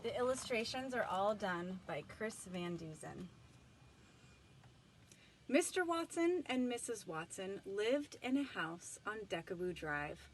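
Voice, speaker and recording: Female children's read-aloud storyteller, very expressive and emphatic, animated intonation, faint background noise. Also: medium pitch, a little slow. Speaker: storybook reader.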